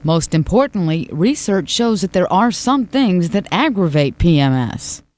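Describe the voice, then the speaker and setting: A woman's voice: lowish voice, lively intonation, a little slow, noisy background. A woman narrating a scripted television news package. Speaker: news reporter.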